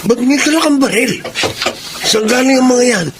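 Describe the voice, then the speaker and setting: A man's voice: extremely high pitch, moderate pace. A man acting an honest, exasperated poor husband and father in a radio drama, earnest and reproachful. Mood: alarmed. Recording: noticeable background noise.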